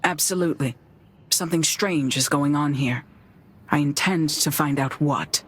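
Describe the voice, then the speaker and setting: A woman's voice: low voice, moderate pace, fairly bright tone, slightly rough. A woman playing a skeptical, no-nonsense investigator in a video game, firm and matter-of-fact. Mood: resolute. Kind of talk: video game cutscene acting. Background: music.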